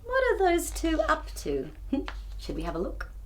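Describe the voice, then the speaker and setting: A woman hosting a children's storytime, reading a picture book aloud in an expressive, theatrical way and chatting to young viewers. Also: sits high, a little slow. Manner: expressive, theatrical, with gasps and exclamations.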